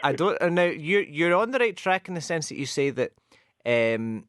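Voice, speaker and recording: A man's voice: a little high, expressive, moderate pace, fairly bright tone, no room sound, studio quality. A man with a Glasgow accent co-hosting a radio show, patiently explaining English football expressions and slipping into Spanish now and then. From west of Scotland. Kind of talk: bilingual radio chat, explaining football idioms.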